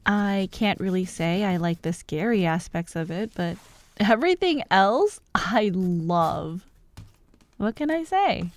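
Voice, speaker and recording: A woman playing a bubbly, bossy young childhood friend who loves Halloween, in an animated, teasing ASMR roleplay. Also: medium pitch, takes it slowly, no echo. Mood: enthusiastic, explaining. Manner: animated, expressive, teasing, with laughs and sighs. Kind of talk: ASMR roleplay, one-sided acted conversation.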